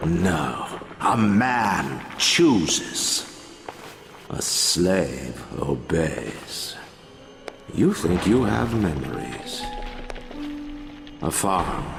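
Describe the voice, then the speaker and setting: Imperious magnate, extremely deep. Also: male voice, creaky, heavily acted. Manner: measured, oratorical. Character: cold, imperious male magnate, philosophical and proud. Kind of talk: video game voice acting.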